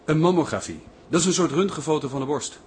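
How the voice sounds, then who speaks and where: A man's voice: on the high side, lively intonation, fast, slight background noise, natural voice. An actor playing a young male medical trainee in a radio drama, polite, formal and a little awkward as he explains. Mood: polite, clinical. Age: young adult. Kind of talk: Dutch radio drama acting.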